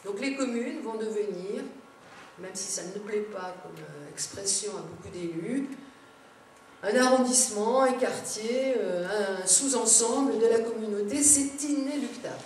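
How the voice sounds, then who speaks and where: A woman's voice: mid-range voice, animated, a little slow, a little mellow. Woman lecturing in a very echoey room. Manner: explanatory, informal asides. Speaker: conference speaker, local government adviser. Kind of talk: public lecture to elected officials. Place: large echoing indoor seminar room. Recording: very echoey room, distant mic, background noise.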